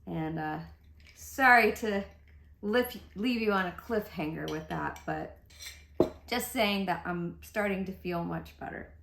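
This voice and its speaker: A woman's voice: fairly low pitch, measured pace, gravelly, background noise. A middle-aged woman with a congested voice, chatting casually through a makeup tutorial. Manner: chatty, upbeat, thinking aloud while applying makeup. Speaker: beauty vlogger. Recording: echoey room.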